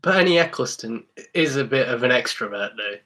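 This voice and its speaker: A man's voice: medium pitch, lively intonation, moderate pace, bright, forceful tone. A man co-hosting a sports podcast, chatting casually and offering his opinions. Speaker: podcast co-host.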